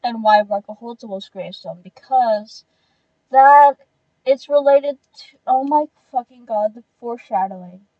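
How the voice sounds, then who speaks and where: A woman streaming a game with a high voice and a dry, close sound. She comments casually and excitably, full of exclamations and offhand swearing. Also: measured pace, dry recording, dull audio. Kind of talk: casual reaction commentary while playing a story game.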